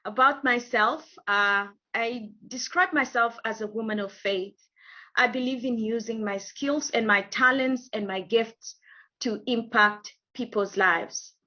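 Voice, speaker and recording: A woman from Kenya, a guest introducing herself and her consulting work, speaking in measured phrases with frequent filler pauses. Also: on the high side, pushed and bright, highs cut off. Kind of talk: interview self-introduction. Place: home in England over video call.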